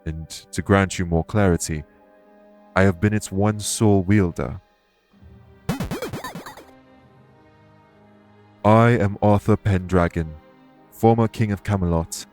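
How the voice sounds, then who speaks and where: Guy acting as a grave immortal elf king, deep voice, polite n formal, audio roleplay w music under it. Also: steady tone, a little mellow, close to an everyday voice. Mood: grave, composed. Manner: formal, measured, stately wording. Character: solemn, courteous immortal elven former king, remorseful.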